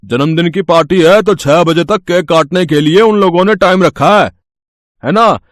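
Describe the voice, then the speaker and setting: A man's voice: high-pitched, moderate pace, no room sound, studio quality, close to an everyday voice. A man playing a talkative bakery owner in a dramatised moral story, tagging his remarks with little questions. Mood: businesslike. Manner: talkative, adds tag questions. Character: brisk, chatty bakery owner, male boss.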